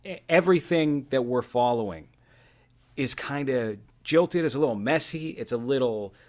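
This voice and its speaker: A man's voice: medium pitch, lively intonation, moderate pace. A male podcast host with a mid-range voice gives casual film analysis. He explains things conversationally, assembling each point a piece at a time.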